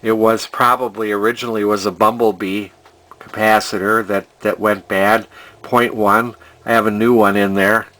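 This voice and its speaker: A man's voice: fairly low pitch, level tone, normal speed, a bit bright, a little hiss or hum, low-quality recording. An older man speaks. He sounds like a hobbyist explaining his restoration work off the cuff. His delivery is casual and rambling, with hesitations as he thinks aloud. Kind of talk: casual unscripted repair narration.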